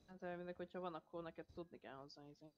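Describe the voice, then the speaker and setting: A man's voice: a little high, steady tone, dull audio. A man bantering casually with other players in an online game's voice chat.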